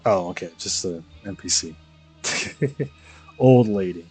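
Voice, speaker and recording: A man's voice: deep voice, expressive, takes it slowly, darkish tone, husky. A young man giving casual, slangy, rambling commentary on a game livestream. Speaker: game streamer. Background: faint game music.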